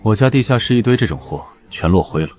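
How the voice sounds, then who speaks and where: A male actor as an aloof, powerful man with a very low voice. He speaks in terse, dry phrases, cool and commanding, as the lead of an animated drama. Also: quick pace, lightly acted.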